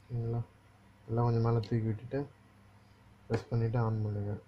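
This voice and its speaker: Flat-toned man, dull lo-fi recording. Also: mid-range voice, fairly quick. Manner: instructional, often checks the listener follows. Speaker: phone repair technician giving a tutorial. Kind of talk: casual hands-on repair walkthrough. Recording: dull lo-fi audio with faint noise.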